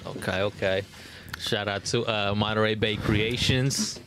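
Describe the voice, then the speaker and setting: A man's voice: fairly low pitch, fairly even intonation, a little slow. Slangy man in a noisy outdoor recording. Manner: slangy, joking. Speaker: podcast host. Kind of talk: casual podcast banter. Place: outdoor backyard.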